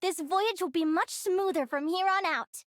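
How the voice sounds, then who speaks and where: A woman voicing a curious young female explorer in a video game, speaking in an earnest, expressive, youthful way. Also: very high voice, normal speed, no room sound, clean studio sound. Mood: awed, confident. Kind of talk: English video game character voicelines.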